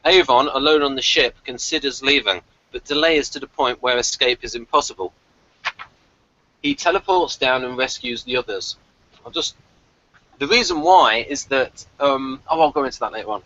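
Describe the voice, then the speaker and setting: A man's voice: mid-range voice, moderate pace, bright, forceful tone, dry recording, highs cut off. A man hosting a review discussion, recapping and chatting casually, hesitant and often correcting himself. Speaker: podcast host. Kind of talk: episode synopsis and casual review chat.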